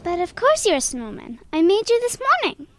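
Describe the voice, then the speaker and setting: A woman's voice: extremely high pitch, wildly swooping pitch, fairly quick, a bit bright, exaggerated voice. A woman playing an earnest, curious young schoolboy with a puzzled, childlike manner in a children's audio drama.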